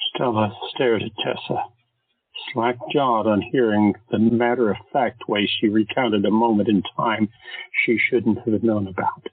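Husky-voiced male drama narrator. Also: on the low side, steady tone, a little slow, no echo. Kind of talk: scripted narration. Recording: dry thin phone-like sound, faint music.

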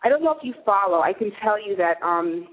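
A woman's voice: medium pitch, lively intonation, a little fast, phone-quality sound. Chatty woman hosting talk radio. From New Jersey, USA. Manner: chatty, earnest, warm asides. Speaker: radio show host.

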